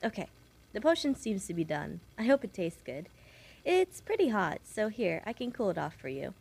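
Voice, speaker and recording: Woman acting a creaky-voiced, shy young witch nurse. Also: mid-range voice, sing-song, moderate pace, a little mellow, exaggerated voice. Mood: caring, attentive. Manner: rambling, apologetic, nervously giggly. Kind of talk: audio roleplay, speaking softly to one patient.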